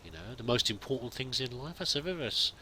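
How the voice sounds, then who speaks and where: A man's voice: mid-range voice, sing-song, fast talker, sharp tone, a little hiss or hum, rough recording. A man hosting a roleplay let's play, chatting and musing aloud and reading in-game text as he plays.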